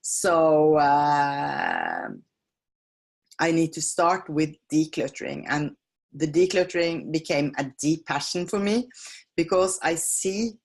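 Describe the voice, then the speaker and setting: A woman with a fairly low voice hosts a live online class from home, speaking English as a second language. She takes her time, pausing often on fillers and restarting her sentences, and signs off in a friendly way. Her voice comes through a computer mic on the call. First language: not English. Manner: halting, with many fillers and self-corrections. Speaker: feng shui and decluttering teacher hosting a webinar. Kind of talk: live webinar sales pitch and Q&A. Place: home on a small island.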